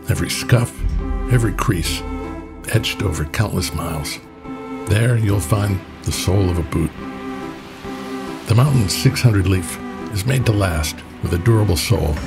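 A man giving slow, reflective scripted narration for a boot commercial. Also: sits low, gravelly.

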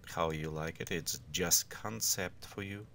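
A man who is not a native English speaker gives an informal, step-by-step software tutorial in halting, unscripted phrases. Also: deep voice, a little hiss or hum.

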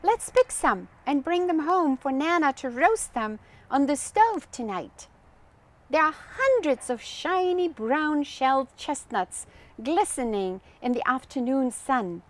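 An older woman reading a children's story aloud as a storytime host, in a gentle, expressive manner that addresses young listeners. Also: high-pitched, a little slow. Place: outdoors on a garden bench.

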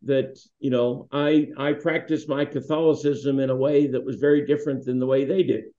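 An older man, founder of a foundation, telling relaxed, rambling stories with fillers over a video call from his home. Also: mid-range voice, normal speed. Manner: rambling, anecdotal, with many fillers. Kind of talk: relaxed storytelling. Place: home room with wall hanging. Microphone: video call webcam. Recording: roomy, slightly dull webcam audio.

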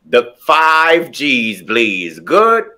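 A man's voice: mid-range voice, wildly swooping pitch, very slow, bright, forceful tone. A man with a German accent, a drag queen guest on a video call, trading campy insults with laughter. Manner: sassy, laughing, campy delivery of example insults. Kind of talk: playful video call chat.

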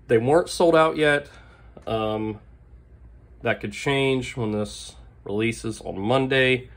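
A man with a hoarse, worn voice still on the mend, talking at a desk in an easy, keen show-and-tell way. He clears his throat often and leans on fillers while he enthuses. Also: mid-range voice, slow. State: hoarse, recovering lost voice. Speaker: knife channel host. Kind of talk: casual product show-and-tell. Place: indoors at a desk. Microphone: overhead camera on table, hands only.

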